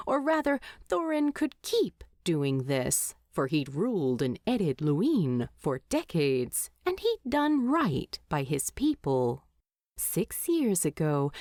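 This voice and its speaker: A woman reading scripted fiction aloud as a storyteller, expressively and with deliberate dramatic emphasis. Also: on the low side, a little mellow, no echo, clean studio sound. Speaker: fan fiction narrator.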